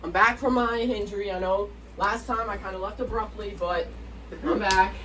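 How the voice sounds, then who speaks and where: Young male wrestler, high voice, slow goofy delivery, distant mic with clear background noise. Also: steady tone, bright, forceful tone. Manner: goofy, joking, breaking into song. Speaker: amateur wrestler taking the challenge. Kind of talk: joking banter.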